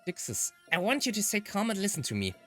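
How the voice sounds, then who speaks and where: A man's voice: sits high, huge pitch swings, fast talker, bright, forceful tone, faint music behind, heavily acted. A man who is a native German speaker voicing a level-headed, insistent young woman in a dramatic visual novel read-aloud. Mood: tense, reasoning.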